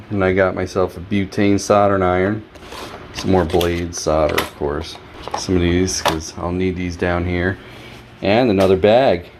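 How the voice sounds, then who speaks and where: A man casually narrating his hands-on repairs in a wry, joking way. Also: deep voice. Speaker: host, DIY repair vlogger. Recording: clear background noise.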